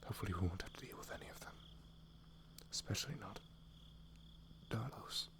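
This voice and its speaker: A man voicing a devoted, protective knight, soft, breathy and earnest, in a romantic ASMR fantasy roleplay. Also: fairly low pitch, brisk. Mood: tender, protective. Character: devoted young knight, protective, wary, tender lover.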